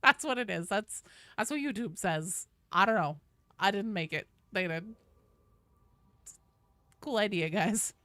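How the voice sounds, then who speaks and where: A woman livestreaming a video game, chatting casually and commentating in an informal, sweary, animated way. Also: mid-range voice, a little slow, pauses often, no echo.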